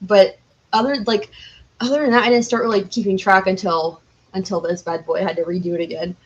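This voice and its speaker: A woman's voice: medium pitch, fairly even intonation, a little fast, highs cut off. A woman speaking as a podcast guest in an informal, enthusiastic, chatty way. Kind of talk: casual chat.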